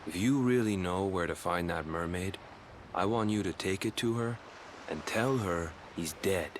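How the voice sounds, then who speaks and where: Low-voiced earnest young island fisherman played by a man. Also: moderate pace, slight background noise, lightly acted. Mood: subdued, earnest. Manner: colloquial, plain-spoken, earnest. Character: earnest, defensive young island fisherman, burdened by family rumors. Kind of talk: dramatic storytelling in video game cutscene. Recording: prominent game music behind the voice.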